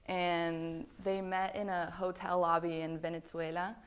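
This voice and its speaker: A woman's voice: fairly low pitch, little pitch movement, a little slow, smooth. A young woman, a college student, introducing herself casually with lots of ums and likes. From Belgium. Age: young adult. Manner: chatty, many ums and likes.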